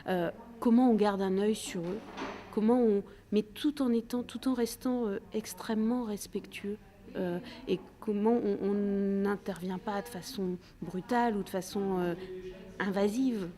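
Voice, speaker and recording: A woman's voice: mid-range voice, steady tone, measured pace, dark tone. A woman speaking as a local official in an unscripted way, searching for words and restarting her phrases. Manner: hesitant, searching for words, repeating phrase starts. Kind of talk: meeting talk and interview, unscripted.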